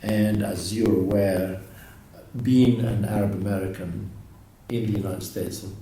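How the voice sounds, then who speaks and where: A man from Morocco speaking reflectively in a documentary interview, halting with many restarts. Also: on the low side, fairly even intonation, dark tone. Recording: very echoey, distant mic, faint noise.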